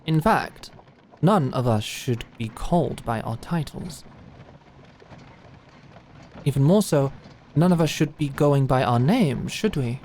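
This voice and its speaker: A woman's voice: rumbling low, expressive, slow, pauses often, a little mellow, slight background noise, exaggerated voice. A woman voicing a wry, teasing lady knight in a medieval audio drama, dry and playful. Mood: teasing, affectionate. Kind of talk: medieval fantasy audio roleplay acting.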